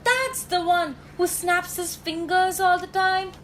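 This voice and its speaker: A teenage girl plays a gossipy, sentimental factory girl in a radio play. She speaks high and slowly, with folksy dramatic phrasing and faint noise behind. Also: fairly bright tone, full character voice. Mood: excited gossip. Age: teenage high school student.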